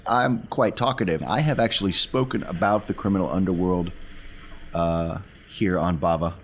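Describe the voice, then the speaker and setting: A man's voice: deep voice, normal speed, slight background noise. A man chatting casually as a player in a tabletop role-playing game.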